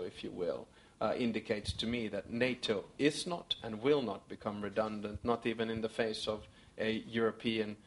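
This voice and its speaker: Measured man counting off his points. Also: lowish voice, a little hiss or hum. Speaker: conference panel speaker. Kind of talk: answering audience questions at a conference.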